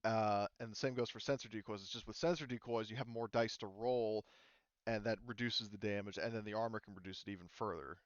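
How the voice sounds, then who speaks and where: A man running a tabletop roleplaying game, casually explaining rules in a halting, rambling, self-interrupting way. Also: on the low side, steady tone, dry recording, muffled recording. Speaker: game master.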